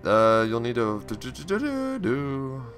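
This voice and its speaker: A man hosting a casual game playthrough, chatty and upbeat, slightly raspy from screaming the day before. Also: medium pitch, wildly swooping pitch, a little slow, fairly bright tone, soft background music. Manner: chatty, reads on-screen text aloud, upbeat. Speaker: gaming channel host. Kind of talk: casual let's play commentary.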